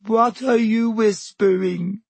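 Storybook ghost girl, played by a man: high, slow, tearful, self-pitying, easily offended melodrama. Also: fairly even intonation, a little mellow, no room sound. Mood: hurt, tearful. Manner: melodramatic, tearful, drawn-out wailing.

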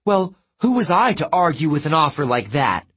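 A man's voice: fairly high pitch, big pitch swings, moderate pace, fairly bright tone, slightly rough, pitched above the performer's own voice, heavily acted. A man playing Apollo, a wailing vain sun god. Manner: wailing, theatrical and self-pitying.

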